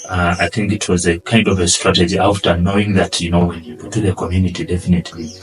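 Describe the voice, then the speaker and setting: A man with a Ugandan accent, answering interview questions earnestly and often trailing off quietly. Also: deep voice, level tone, fast talker, far from the mic, faint music behind, rough recording. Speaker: guest, asylum seeker.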